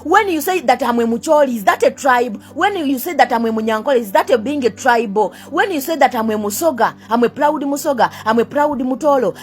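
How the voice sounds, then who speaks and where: A young Ugandan woman with a Ugandan accent in a heated rant to camera. She keeps firing the same rhetorical questions, swears at her critics and switches between two languages. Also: high-pitched. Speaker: vlogger.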